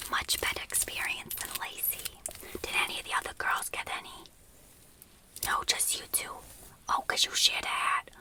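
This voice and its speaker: A woman whispering close to the mic as an aunt combing out lice, chatty and reassuring, with gossipy asides. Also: rough recording. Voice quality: whispered throughout. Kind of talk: whispered ASMR roleplay.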